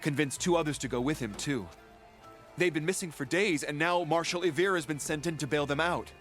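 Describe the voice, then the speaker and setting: An actor playing a worried, exasperated warrior grumbling about a reckless brother, in a video game cutscene. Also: male voice, a little high, brisk, fairly bright tone, soft background music, natural voice.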